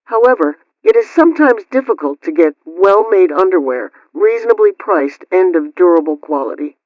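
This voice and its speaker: A woman's voice: fairly low pitch, sing-song, moderate pace, no room sound. A woman playing a prim 1920s housekeeping host on the radio, reading scripted advice in a formal, instructive way through an old radio filter. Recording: dull, lo-fi old-radio sound.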